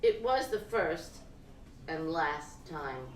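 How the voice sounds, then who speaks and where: Slow-paced woman reading fiction, roomy live recording. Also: deep voice, lively intonation. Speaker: reader of fiction at a live event. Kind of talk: expressive literary reading of first-person prose. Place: indoor live reading event. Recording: roomy, distant live-event recording with noise.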